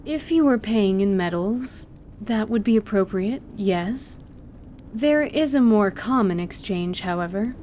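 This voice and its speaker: Fantasy radio play casting: woman as learned half-elf lady, mid-range voice, courteous, composed, articulate, faint rumble. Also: animated, a little slow, dark tone, lightly acted. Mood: reassuring, informative. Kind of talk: audio drama acting of a tabletop campaign.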